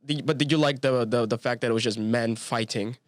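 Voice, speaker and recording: A man co-hosting a casual podcast, eagerly explaining movie lore with lots of quick agreeing fillers. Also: medium pitch, steady tone, dry recording, clean studio sound. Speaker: podcast co-host. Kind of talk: casual chat.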